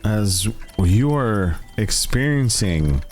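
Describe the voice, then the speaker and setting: A man running a tabletop game as game master, narrating in a deliberate, dramatic way. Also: lowish voice, animated. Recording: loud music behind the voice. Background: loud music.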